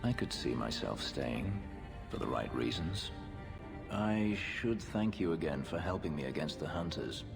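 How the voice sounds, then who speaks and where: Very deep, brooding fugitive warrior. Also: male voice, animated, full character voice. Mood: softening, wry. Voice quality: very deep, gravelly. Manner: terse, measured, formal phrasing. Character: brooding male warrior, a fugitive on the run, guarded. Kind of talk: dramatic video game dialogue. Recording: music underneath. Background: background music.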